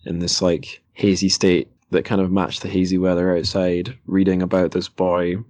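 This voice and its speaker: A man's voice: deep voice, completely flat delivery, normal speed, a little mellow, no echo. A young man, a podcast host casually talking about books in a meandering, anecdotal way. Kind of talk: casual book discussion, anecdotal.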